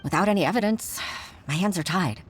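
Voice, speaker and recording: An actress playing an earnest, flustered young junior clerk in a video game, hesitant and deferential in manner. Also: female voice, fairly low pitch, animated, fairly quick, slight background noise, natural voice. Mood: troubled.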